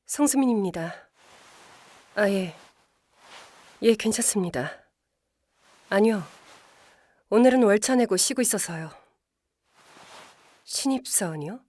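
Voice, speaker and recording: Thirties lady boss, curt and deadpan, medium pitch, audio drama. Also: measured pace, pauses often. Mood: polite, flat. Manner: blunt, deadpan. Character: curt, unimpressed female team leader of thirty-four. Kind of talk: Korean audio drama acting.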